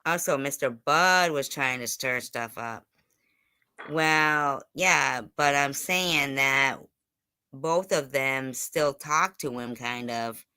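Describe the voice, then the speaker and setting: A man's voice: mid-range voice, slow pace, bright, forceful tone, clear, resonant tone, no room sound. A man hosting a casual online gossip interview, speaking insistently and repeating phrases for emphasis. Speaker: host of an online interview show.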